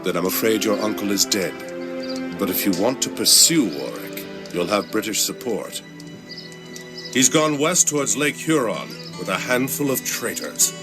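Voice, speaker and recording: A commanding colonial colonel, played by a man for a game cutscene. He is formal and firm, with animated intonation and prominent music under the voice. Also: lowish voice, normal speed, natural voice. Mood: sober. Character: composed, authoritative colonial army colonel. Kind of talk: dramatic video game cutscene acting.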